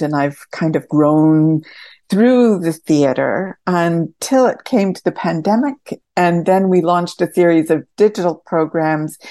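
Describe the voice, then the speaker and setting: A woman's voice: low voice, dark tone, dry recording. A woman theatre director answering questions reflectively as an interview guest.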